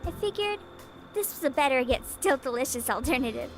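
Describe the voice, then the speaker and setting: A woman's voice: sits high, animated, brisk, soft background music. A woman voicing a cheerful, eager young woman who loves cooking in a video game. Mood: chatty, explaining. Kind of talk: scripted game dialogue.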